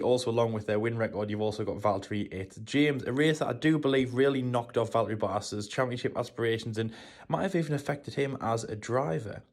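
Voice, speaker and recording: A man co-hosting a motorsport show, giving a steady race preview full of "of course". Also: medium pitch. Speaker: co-host.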